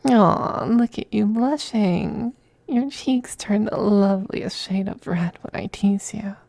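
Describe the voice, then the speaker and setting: A man playing a possessive, teasing femboy vampire in an intimate ASMR roleplay, speaking softly and breathily, often in a whisper, with coaxing, condescending affection. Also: high-pitched, slow, gravelly, dry recording, exaggerated voice. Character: possessive, teasing, doting femboy vampire master styled as mistress.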